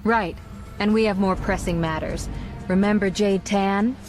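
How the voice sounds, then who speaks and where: An actress playing a businesslike, urgent intelligence agent in a video game, speaking in a brisk, no-nonsense way. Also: female voice, mid-range voice, steady tone, lightly acted. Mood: grave, urgent. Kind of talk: video game cutscene acting. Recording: music behind the voice.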